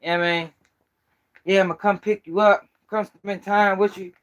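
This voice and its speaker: A man's voice: sits high, level tone, moderate pace, fairly bright tone, lo-fi sound. A man with a Baltimore accent hosting a casual livestream, talking to viewers in loose, profane street slang. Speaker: host of a commentary channel.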